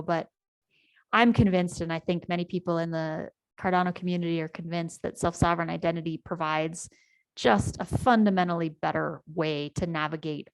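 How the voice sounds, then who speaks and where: A middle-aged American woman telling her story and presenting a project on a video call, with many ums and restarts. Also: fairly low pitch. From United States. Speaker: community presenter. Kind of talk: online town hall presentation.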